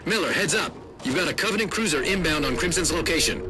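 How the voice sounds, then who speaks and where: A man's voice: on the high side, lively intonation, fast talker, close to an everyday voice. A man playing an embattled soldier in a video game, calling in threats with urgent, clipped military delivery. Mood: tense warning. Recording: loud music and gunfire behind voice.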